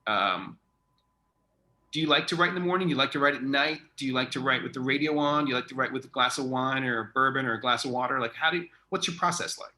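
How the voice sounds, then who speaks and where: A man with a bright, forward voice speaks as an interviewer in a relaxed conversation. He is effusive and wanders through his thoughts out loud, at a middling pitch. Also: fast, a little hiss or hum. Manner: effusive, rambling, thinking aloud. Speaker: interviewer, theatre artistic director. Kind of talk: casual interview chat.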